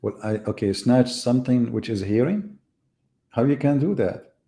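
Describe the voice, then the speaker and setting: Gravelly, mocking man on a live debate. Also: mid-range voice, lively intonation, normal speed, mellow. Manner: mocking, sarcastic rhetorical questions. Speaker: debate host. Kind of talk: live online debate monologue.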